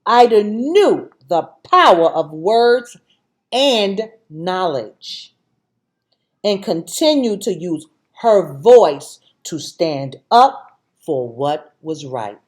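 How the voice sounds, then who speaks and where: A woman hosting a read-aloud for children, reading deliberately and speaking directly to her young listeners. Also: lowish voice, very animated.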